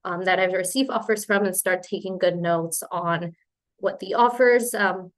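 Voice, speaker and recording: Woman in her 20s from spain, kinda low voice, phd student walking thru slides on a webinar. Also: moderate pace. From born in Colombia, grew up in Spain. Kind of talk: informal slide presentation. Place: remote webinar.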